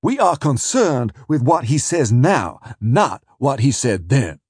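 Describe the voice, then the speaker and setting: A man doing a blustering male defence lawyer in an audiobook. He protests in slow, outraged bursts. The voice swings widely in pitch and has a husky edge. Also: sing-song, darkish tone, no echo, studio quality, exaggerated voice. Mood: indignant.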